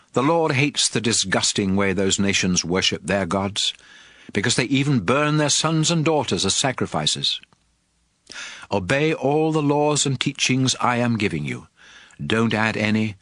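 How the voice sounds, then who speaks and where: A man playing a solemn prophet and lawgiver, exhorting his people in a measured, emphatic dramatized scripture reading. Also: mid-range voice, a bit bright, no room sound, clean studio sound.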